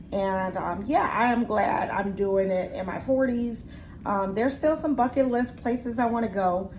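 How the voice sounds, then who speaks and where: A woman's voice: mid-range voice, darkish tone. Woman, forties, casual vlog chat, reflective, faint room noise. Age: 40s. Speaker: vlogger.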